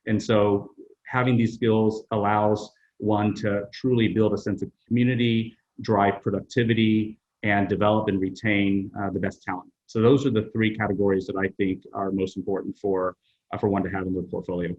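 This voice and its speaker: Low-voiced man, measured with many pauses. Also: flat delivery, a little mellow, dull audio. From Vietnam. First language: Vietnamese. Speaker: HR executive guest. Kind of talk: interview answers.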